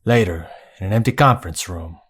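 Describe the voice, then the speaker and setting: An actor playing a weary, sceptical police officer, narrating and acting with a dry manner in an audio drama. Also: male voice, low voice, fairly quick, a bit bright, slightly rough. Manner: dry, sardonic first-person narration.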